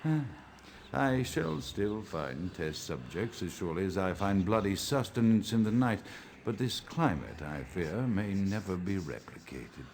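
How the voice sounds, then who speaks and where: An actor playing a pompous, morbid old vampire psychiatrist in a video game, with grandiloquent, brooding and precise diction. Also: male voice, low-pitched, lively intonation, measured pace, noisy background. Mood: lofty, lamenting. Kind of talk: theatrical monologue, video game voice acting.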